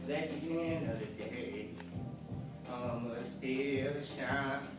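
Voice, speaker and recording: A man's voice: medium pitch, takes it slowly, distant mic, loud music behind the voice. Young man rapping in an echoey hall. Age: young adult. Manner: rhythmic rapping with sung hook. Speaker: graduate performing a rap. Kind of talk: rap performance. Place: large hall at a graduation ceremony.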